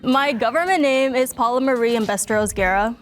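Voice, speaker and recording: Higher-voiced chatty woman, loud music. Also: brisk. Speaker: game show contestant. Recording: clip with loud music under it.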